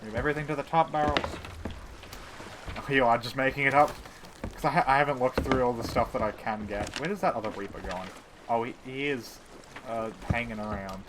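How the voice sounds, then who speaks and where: Male game streamer, casual banter, medium pitch, muttering to himself, loud watery game noise behind. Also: brisk. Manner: playful, teasing banter with chat. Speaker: live streamer. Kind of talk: casual gameplay stream chat.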